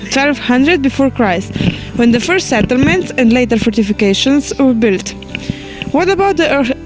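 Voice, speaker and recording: A woman's voice: on the high side, poor audio. Woman presenting a scripted tour over music. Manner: reads carefully, small slips. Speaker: student presenter. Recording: music playing under the voice.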